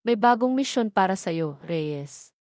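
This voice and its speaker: A woman's voice: mid-range voice, lively intonation, measured pace, darkish tone, no room sound, clean studio sound, natural voice. A woman voicing a cold, commanding schemer who gives terse, imperious orders in an audio drama.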